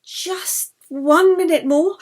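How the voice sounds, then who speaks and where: A woman's voice: extremely high pitch, slow, pitched above the performer's own voice. Woman voicing an eager, frightened young violinist. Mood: urgent. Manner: pleading, urgent.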